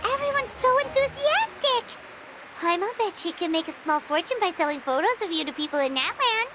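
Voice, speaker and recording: A woman playing a tiny, chirpy, excitable childlike fairy companion in a video game cutscene. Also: extremely high pitch, brisk, slight background noise, full character voice. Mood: excited. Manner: refers to self in third person, exclaiming.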